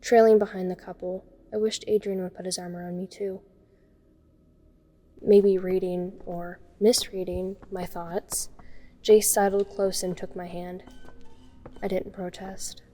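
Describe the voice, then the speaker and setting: An actress plays a frightened young woman narrating a horror audio drama in the first person. Her voice is mellow and relaxed in timbre, and her pitch stays in a narrow, level band. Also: unhurried, natural voice. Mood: somber. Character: frightened young woman recounting being trapped in horror story.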